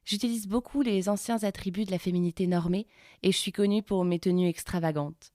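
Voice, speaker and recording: A woman's voice: fairly low pitch, darkish tone, no echo, studio quality, lightly acted. An actress playing a proud, flamboyant femme mother in an audio fiction self-portrait, declarative and self-assured.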